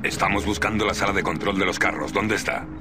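A man playing a gruff soldier in command, speaking European Spanish in a very low voice. He is terse and deadpan, like a stoic squad leader in a war game, with loud machinery noise around him. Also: fast talker, close to an everyday voice.

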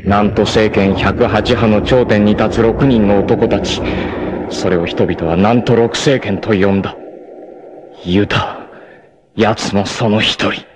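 An actor playing a proud, fierce, devoted martial-arts warrior facing death, with solemn, dramatic delivery in 1980s anime style. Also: male voice, on the low side, steady tone, noisy background. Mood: grim resolve. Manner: solemn, dramatic, deliberate delivery with intense outbursts.

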